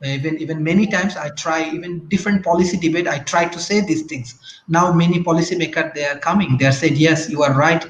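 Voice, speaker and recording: A man from Bangladesh, speaking haltingly with many fillers and restarts as a guest on a talk show. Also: a little high, fairly even intonation, reverberant.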